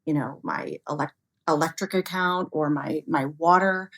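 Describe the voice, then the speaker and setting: A woman with a notably low voice speaks as an expert guest in an interview. She explains ideas in a conversational way and leans on everyday examples and hypotheticals. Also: moderate pace, creaky, a bit bright. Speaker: interview guest, expert on human error in cybersecurity.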